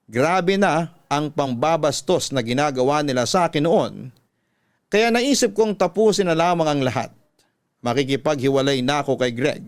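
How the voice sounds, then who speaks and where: A Filipino man narrates a scripted dramatic story in the manner of a radio program. His voice sits a little high and is very smooth. The sound is close and dry. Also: dry recording.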